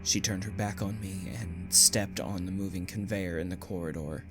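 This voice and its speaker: A man's voice: low-pitched, fairly even intonation, normal speed, natural voice. A man playing a frightened, brooding condemned prisoner, narrating his ordeal theatrically in a first-person audio drama. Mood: tense, grim. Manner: theatrical, anguished, swelling from hushed to urgent. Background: music.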